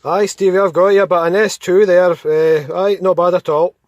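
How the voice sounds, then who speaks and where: A man's voice: high voice, little pitch movement, normal speed. A Scottish man chatting casually as a CB radio operator.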